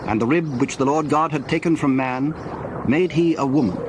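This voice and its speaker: A man narrating a dramatized scripture reading in a measured, solemn storytelling manner. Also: fairly high pitch, noisy background.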